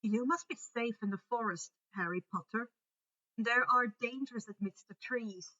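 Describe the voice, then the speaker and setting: A woman's voice: fairly high pitch, measured pace, bright, forceful tone, lo-fi sound. A woman voicing a solemn, wary male centaur who speaks in a grave, questioning manner. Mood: worried warning.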